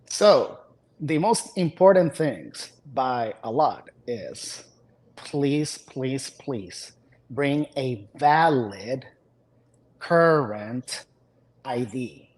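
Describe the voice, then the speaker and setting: Puerto Rico-born doctor with dry humor. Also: male voice, mid-range voice, lively intonation, drawn-out words, a little mellow. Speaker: physician, guest. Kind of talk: interview answers.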